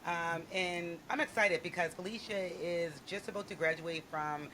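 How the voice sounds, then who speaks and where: A woman's voice: fairly low pitch, normal speed, fairly bright tone, slight background noise, poor audio. A woman hosting a podcast, chatting with a guest in a bubbly, effusive and playful way. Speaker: podcast host. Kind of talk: casual interview chat.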